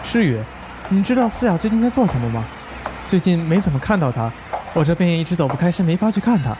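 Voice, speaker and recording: A man's voice: a little high, sing-song, fast, noisy background. A young man playing a busy, caring boyfriend who works on a building site, in an audio drama. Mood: concerned, hurried. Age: young adult. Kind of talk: Chinese audio drama acting.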